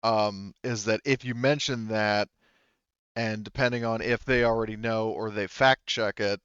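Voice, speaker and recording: A man talking casually as game master, reasoning through the rules out loud. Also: on the low side, fairly even intonation, measured pace, smooth, no echo, dull audio.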